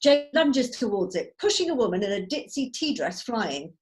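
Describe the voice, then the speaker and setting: A woman's voice: fairly high pitch, very animated, moderate pace. A woman narrating scripted stage directions at a drama table read held over a video call.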